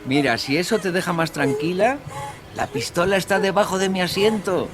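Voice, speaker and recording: An actor with a Castilian accent playing a calm, manipulative young killer in a radio drama, coaxing and falsely reassuring. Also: male voice, high-pitched, normal speed, natural voice. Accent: Castilian Spanish. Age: young adult. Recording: music bed under the voice.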